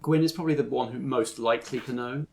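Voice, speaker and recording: A man's voice: medium pitch, fast talker, gravelly, a little mellow, a little hiss or hum. A man improvising narration as game master, explaining the scene in a conversational way.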